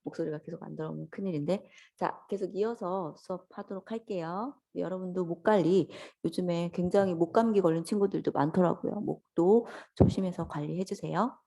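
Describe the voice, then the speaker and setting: A woman lecturer speaks in a slightly low, mellow voice that is hoarse from a lingering cold. She explains step by step in a conversational, instructional way, addressing her students politely and directly. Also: brisk, hardly any pauses. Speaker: lecturer teaching an office software course.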